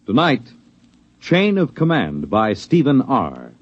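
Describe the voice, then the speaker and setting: A man's voice: medium pitch, huge pitch swings, slow. A man announcing a radio programme in a dramatic 1950s broadcast style. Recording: muffled vintage radio, loud music behind.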